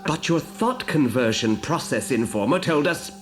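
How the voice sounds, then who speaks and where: Sardonic male alien underling, played by a man, scheming, very lively intonation, storybook drama over music. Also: a little high, normal speed, natural voice. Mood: scornful. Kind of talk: dramatised storybook audio acting. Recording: music behind the voice.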